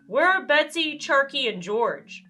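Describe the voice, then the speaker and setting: Woman as a grown man, caring, resourceful, reassuring, bright tone, children's storybook. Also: high voice, very animated, a little slow, faint music behind, full character voice. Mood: concerned.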